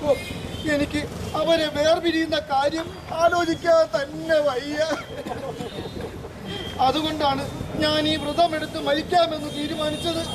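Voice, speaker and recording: A man voicing a scheming old crane who pretends to mourn and fast, speaking slowly and piously at an outdoor street puppet show. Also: very high voice, flat delivery. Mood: mournful. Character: scheming old male crane feigning grief. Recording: outdoor street show with loud crowd and traffic.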